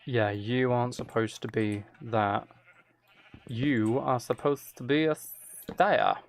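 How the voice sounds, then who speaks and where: A man's voice: mid-range voice, slow, a little hiss or hum. A man giving casual gameplay commentary, thinking aloud and correcting himself as he chats to viewers. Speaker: streamer playing a building game.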